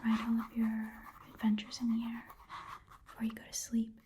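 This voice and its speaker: A woman voicing an easygoing goblin pawn shopkeeper in a soft, breathy whispered ASMR roleplay, haggling in a casual, rambling way. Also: fairly high pitch, completely flat delivery, normal speed, a little hiss or hum, natural voice. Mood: casual, helpful.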